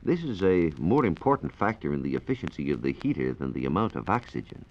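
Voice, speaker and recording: A man explaining science in a measured, precise way as a reporter on a 1940s radio program. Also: low-pitched, big pitch swings. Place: radio studio. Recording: dull, noisy 1940s radio recording.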